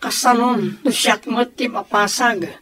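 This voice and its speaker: A woman's voice: fairly high pitch, fairly even intonation, unhurried, a bit bright, slight background noise. A woman acting in an Ilocano radio drama as an earnest grown child who pleads solemnly and emphatically for peace with warring parents. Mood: earnest, pleading.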